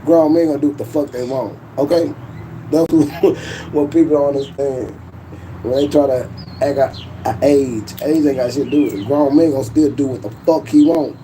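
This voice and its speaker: A man's voice: medium pitch, normal speed, dark tone, a little hiss or hum, poor audio. A man, hosting a casual livestream in a boastful, profane, laughing way. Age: 40s. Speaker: YouTube livestream host.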